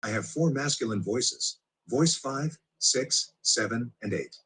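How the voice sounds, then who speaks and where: A male-voiced smart speaker assistant speaking in polite, even, synthetic phrasing. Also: mid-range voice, unhurried, a little hiss or hum. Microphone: played from a smart speaker into the room.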